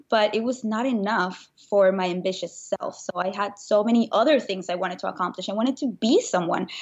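A woman speaks at a medium pitch as a podcast guest. She tells her story in an earnest, animated way. The audio is a bit dull and lo-fi. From Venezuela. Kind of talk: conversational interview.

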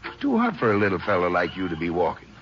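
Old radio western rancher, texas drawl, deep and friendly, really up and down, muffled recording. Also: male voice, huge pitch swings, quick pace. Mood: genial, coaxing. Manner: drawling folksy talk, chuckling, avuncular. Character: folksy, friendly unshaven rural Texas rancher. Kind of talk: 1950s radio western acting. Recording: dull, noisy old 1950s radio transcription.

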